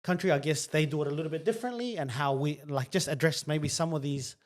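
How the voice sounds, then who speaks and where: A man with a fairly high voice hosts with eager, rambling energy, chuckling as he goes. His long sentences wander and circle back before landing on the point. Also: fast. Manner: enthusiastic, rambling, laughs between phrases. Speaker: podcast host. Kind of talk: casual show hosting.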